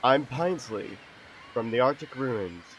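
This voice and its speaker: Man playing a slow-talking big gruff beast. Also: medium pitch, expressive, a little hiss or hum, natural voice, rough recording. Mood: friendly. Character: big gruff thawed arctic beast, friendly once calmed. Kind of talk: audio drama acting.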